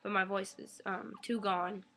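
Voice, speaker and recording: Raspy-voiced young mom on a webcam. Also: female voice, mid-range voice, level tone, unhurried, pushed and bright. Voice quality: raspy from losing her voice. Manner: rambling, many ums, coos baby talk to her infant. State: getting over an illness. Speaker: new mother vlogger. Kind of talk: casual webcam update. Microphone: webcam mic.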